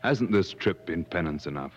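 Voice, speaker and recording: An actor playing an earnest gentleman adventurer who also narrates, in 1950s radio drama style. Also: deep voice, expressive, moderate pace. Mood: quiet, probing. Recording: muffled old 1950s radio broadcast, music.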